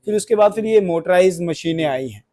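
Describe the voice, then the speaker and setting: A man working as a field reporter, interviewing on location and explaining in a halting way, often repeating and rephrasing. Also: high voice, expressive, warm tone. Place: handloom workshop.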